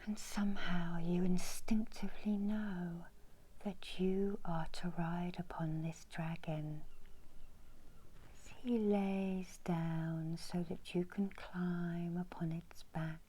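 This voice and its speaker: A middle-aged woman whispering a guided meditation in ASMR style, breathy and hushed, with long soothing pauses. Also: lowish voice, flat delivery, poor audio. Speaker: meditation guide and Reiki healer. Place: small indoor room.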